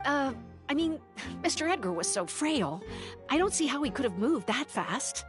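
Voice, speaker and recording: A woman's voice: high-pitched, very animated, moderate pace, music in the background. An actress playing an earnest, anxious young female investigator in an anime-style game. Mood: puzzled.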